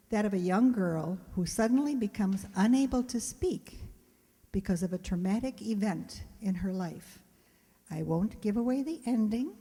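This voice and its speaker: A woman's voice: mid-range voice, dark tone, husky. An older woman giving a prepared introduction as the presenter of a radio players' show. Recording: long hall reverb.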